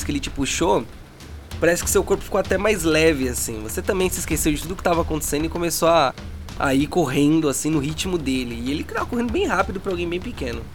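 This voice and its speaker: A man narrating a tabletop game as game master, describing scenes dramatically. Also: a little high. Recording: with music bed.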